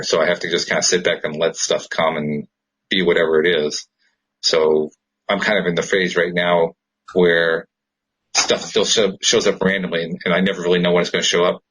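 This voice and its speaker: A man hosting a podcast in casual conversation, with a thoughtful, halting delivery. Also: sits low, a bit bright, breathy edge, low-quality recording. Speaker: podcast host.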